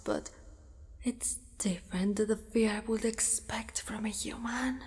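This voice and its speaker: Woman playing a slow, echoing, possessive spider-monster woman. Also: fairly high pitch, a little mellow. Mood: coolly menacing. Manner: slow, deliberate, sinister coaxing. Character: possessive yandere spider-monster woman, menacing yet doting predator. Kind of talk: ASMR roleplay, often whispered. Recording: long reverberant cave-like echo.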